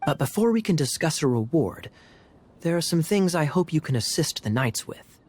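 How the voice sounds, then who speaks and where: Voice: medium pitch, big pitch swings, moderate pace, darkish tone, slight background noise, natural voice. An actor voicing a courteous, formal knight. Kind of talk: video game voice acting.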